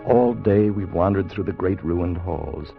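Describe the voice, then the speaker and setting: A man acting a weary, fearful time traveler in 1950s radio drama, narrating his ordeal in a grave, measured, voice. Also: low-pitched, gravelly. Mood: grim, haunted. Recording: muffled old radio broadcast with music.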